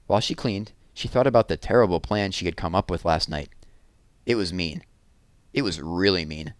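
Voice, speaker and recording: A man reading a children's book aloud as an expressive narrator. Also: deep voice, normal speed, no echo.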